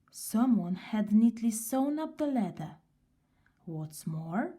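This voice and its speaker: A woman reading a children's story aloud in an unhurried, expressive way. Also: a little high.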